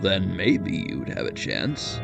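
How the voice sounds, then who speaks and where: A gravelly, slow-talking demon villain, acted by a man in an audio drama. He is a pot-bellied lizard bully who grumbles and gives orders with menace, over prominent music. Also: sits low, huge pitch swings, heavily acted. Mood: scornful, bossy. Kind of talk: audio drama character acting.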